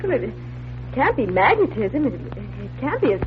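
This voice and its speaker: An actress playing a lively, inquisitive magician's assistant in a 1940s radio drama, expressive and eager. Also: female voice, a little high, measured pace, noisy background. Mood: intrigued. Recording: muffled, humming 1940s radio recording.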